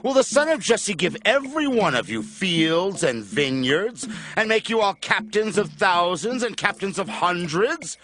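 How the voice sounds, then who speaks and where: A man's voice: high voice, very animated, unhurried, few pauses, a bit bright, faint music behind, heavily acted. An actor playing a paranoid, wrathful king in a dramatized audio Bible, declaiming accusations. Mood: bitter accusing.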